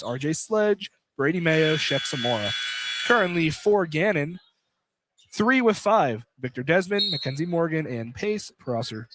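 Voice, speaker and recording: Higher-voiced man calling basketball over noise. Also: fairly bright tone. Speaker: play-by-play announcer. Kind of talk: live college basketball play-by-play commentary. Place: courtside at a college basketball game. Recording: clearly noisy live radio broadcast.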